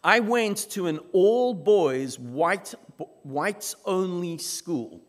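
A man from South Africa with a South African accent, preaching a sermon as pastor in measured, emphatic phrases with dramatic pauses. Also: high-pitched, lively intonation. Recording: long reverb of a large hall.

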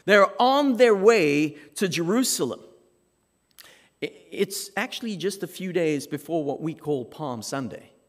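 Slow, emphatic South African preacher. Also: male voice, fairly high pitch, lively intonation. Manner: measured, emphatic, with dramatic pauses. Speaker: pastor. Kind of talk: sermon. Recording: long reverb of a large hall.

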